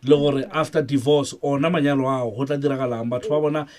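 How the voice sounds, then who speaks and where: A man's voice: medium pitch, fairly even intonation, a little mellow. A male South African radio show host with a cold, sounding sniffly, chatting with callers in a studio. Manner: chatty, fast talking. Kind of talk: radio call-in show, code-switching.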